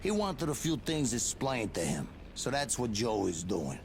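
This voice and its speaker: A man's voice: medium pitch, very animated, normal speed, a little mellow, a little hiss or hum. An actor playing a cocky, mocking gunman threatening someone in a video game. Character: cocky male mob gunman, sneering.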